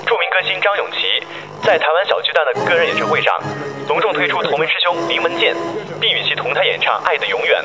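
A man acting as a polished entertainment news announcer in a radio drama. He reads formal promotional copy quickly, in a fairly high voice over prominent music. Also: lightly acted, rough recording. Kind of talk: scripted entertainment news read.

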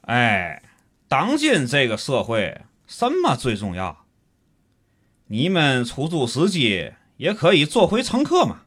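A man's voice: mid-range voice, huge pitch swings, takes it slowly, fairly bright tone, breathy edge, full character voice. A man with a Tianjin accent acting a grumbling, pompous city schemer in a comic audio drama, theatrical and colloquial. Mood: pompous, scheming.

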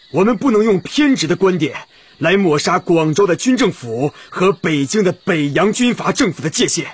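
A man's voice: high voice, husky, full character voice. An actor playing an indignant, contrary male congress delegate, protesting in declamatory radio drama style. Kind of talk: Chinese historical radio drama acting.

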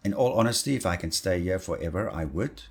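A man from South Africa whose first language is Afrikaans, speaking English with an Afrikaans accent as an interview guest. He talks earnestly and grows animated when he tells stories. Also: low voice, fairly quick, husky. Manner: earnest and animated, rising when telling anecdotes. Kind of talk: casual interview chat.

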